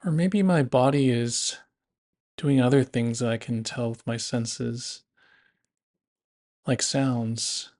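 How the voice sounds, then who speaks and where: A man narrating a guided body scan meditation in a gentle, unhurried, instructive manner. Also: mid-range voice, fairly even intonation.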